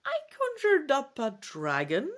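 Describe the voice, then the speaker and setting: Woman acting a flustered, high-pitched young spellcaster. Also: wildly swooping pitch, unhurried, heavily acted. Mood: shamefaced panic. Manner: desperate, flustered. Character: panicked, shamefaced young spellcaster in a fantasy adventure.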